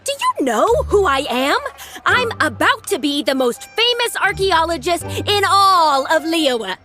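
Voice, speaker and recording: A woman's voice: extremely high pitch, sing-song, unhurried, sharp tone, soft background music, exaggerated voice. A woman playing a brash, boastful archaeologist picking a fight in a video game, arrogant and confrontational. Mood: arrogant, indignant. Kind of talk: animated video game acting.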